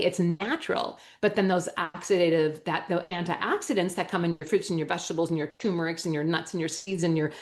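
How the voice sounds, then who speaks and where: A woman with a Wisconsin accent explaining things enthusiastically and emphatically as an interview guest, using everyday analogies. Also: lowish voice. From Wisconsin, United States. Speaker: natural medicine school owner and teacher, interview guest. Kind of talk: animated explanatory interview talk.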